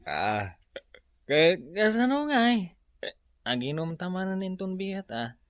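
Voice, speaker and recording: Radio comedy: man as an idle boozer husband, slow drawl, pitch jumping all over, dry close mic. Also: sing-song. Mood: lazy. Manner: drawling, swooping pitch, frequent "pare". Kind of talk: Ilocano radio comedy drama acting.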